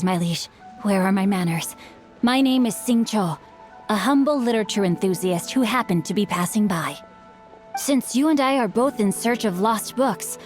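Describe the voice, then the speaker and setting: A woman's voice: on the high side, lightly acted. A woman voicing a polite, bookish teenage boy in a video game, with flowery, formal manners and music behind. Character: polite, bookish teenage boy, refined young martial-arts enthusiast.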